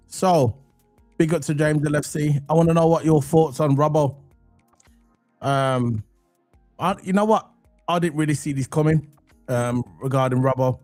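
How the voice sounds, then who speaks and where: A man hosting a casual football live stream, croaky from a cold, loose and chatty with lots of ums as he talks to the chat. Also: mid-range voice. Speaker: football channel host. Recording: faint background music under voice. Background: soft background music.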